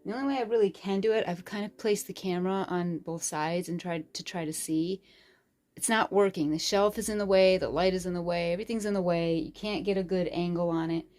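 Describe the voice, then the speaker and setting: A woman with a sore, hoarse throat, casually talking through a craft tutorial in a small bedroom craft corner, rambling with lots of fillers. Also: fairly low pitch, fairly quick, a little mellow. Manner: rambling and chatty, with frequent fillers. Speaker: crafting channel host. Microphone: phone camera.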